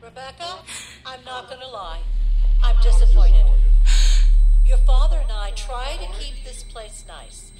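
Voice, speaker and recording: High-pitched scolding mother. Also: animated, unhurried, poor audio. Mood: disappointed, scolding. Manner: exasperated, reproachful. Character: disappointed, scolding mother of a grown daughter. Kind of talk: audio fiction acting.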